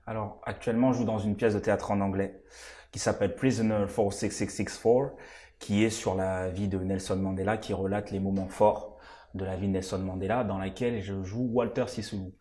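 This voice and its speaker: A young man in his twenties speaks with a somewhat low voice, earnest and a little hesitant. He pauses on fillers and repeats words as he gathers his thoughts, in a relaxed interview manner. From Congo-Kinshasa, raised in France. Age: 20s. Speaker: stage actor, interview guest. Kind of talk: casual interview answers.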